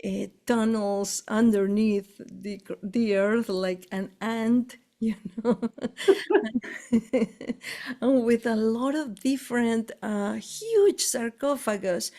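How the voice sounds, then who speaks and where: A woman from Argentina with an Argentine Spanish accent and Spanish as her first language, talking casually in halting English, often searching for words, as she shares spiritual travel experiences. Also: on the high side, warm tone. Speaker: spiritual tour guide. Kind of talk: casual conversation.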